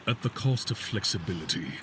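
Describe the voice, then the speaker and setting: A man performs a commanding villain warlord for a dramatic comic dub. The voice is low and forceful, with formal, measured authority and big sweeps of emphasis over music. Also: expressive, a little hiss or hum. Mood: disdainful. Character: stern commanding villain warlord, imperious and proud.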